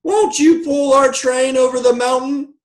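A man's voice: moderate pace, natural voice. A man voicing small dolls and toys in a children's storybook, desperate, hopeful and imploring. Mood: pleading. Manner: squeaky, imploring cries.

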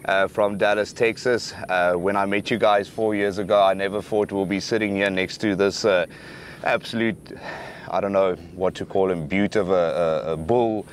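A man's voice: deep voice, steady tone, slight background noise. A young South African man, a hunting guide whose first language is Afrikaans, talking outdoors in the bush with many uhs and restarts. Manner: halting, many fillers and restarts. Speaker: professional hunter guide. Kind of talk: outdoor hunt recap to camera. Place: outdoors in dry bushveld.

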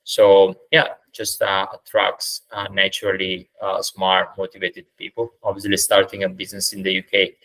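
A man with an Italian accent speaks in a low, even voice with a bright, forward edge. He answers at a measured pace, scattering ums and uhs, heard through a video-call webcam mic. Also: rough recording. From Italy. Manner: measured, many "uh" and "um" fillers. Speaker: startup founder, guest. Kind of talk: conversational interview answers. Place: indoors, plain room.

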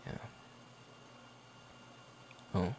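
A man acting a flustered, jealous young best friend in an intimate roleplay, with halting, stammering speech and sighs. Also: warm tone, slight background noise. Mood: jealous, unsettled. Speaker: roleplay audio performer.

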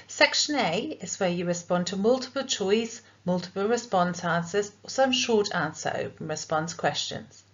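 A woman's voice: mid-range voice, lively intonation, moderate pace, hardly any pauses, a bit bright. Brief: female languages teacher, explanatory tone, muffled lo-fi recording. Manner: clear, explanatory teacher delivery. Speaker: subject leader for languages. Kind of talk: school curriculum presentation.

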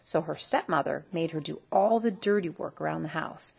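A woman reading a children's story aloud in an expressive storytelling style. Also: low voice, moderate pace, vocal fry, breathy edge. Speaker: storybook reader.

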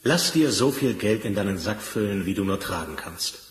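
Guy as big low-voiced fairy tale king, gracious, loves food, echoey old radio play. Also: animated, moderate pace, natural voice. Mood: grateful. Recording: echoing hall, slightly noisy old record.

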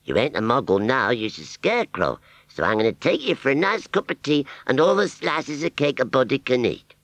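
A man's voice: mid-range voice, very animated, fairly bright tone, no echo, pitched above the performer's own voice. A man voicing a simple, bumbling male scarecrow in a storybook reading, speaking in a rustic country dialect and stammering as he goes. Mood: shy, friendly. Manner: slow, hesitant, stammering.

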